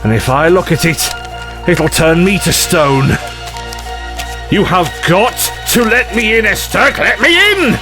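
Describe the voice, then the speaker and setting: Man playing an animated, rambling eccentric adventurer. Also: high-pitched, measured pace, over music. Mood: panicked, urgent. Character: eccentric, excitable, rambling male time-travelling adventurer. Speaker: lead actor in an audio drama. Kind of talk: audio drama acting.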